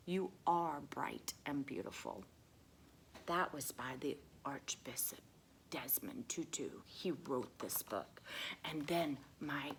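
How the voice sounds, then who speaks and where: Woman acting an excitable, very animated storybook lady. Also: deep voice, a little hiss or hum, full character voice. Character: Mrs. Butterworth, sweet excitable woman reading to children. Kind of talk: children's story reading.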